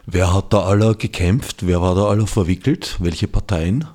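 A man hosting a community radio show, asking an interview guest short, calmly phrased questions. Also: sits low, moderate pace. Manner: calm, clearly phrased questions. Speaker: radio host.

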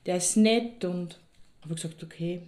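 A woman's voice: on the low side, a little slow, darkish tone, natural voice. An actress with an Austrian accent playing a middle-aged divorced woman telling an anecdote in a wry, matter-of-fact, conversational way for an audio drama. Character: reluctant divorced woman recounting a matchmaking party.

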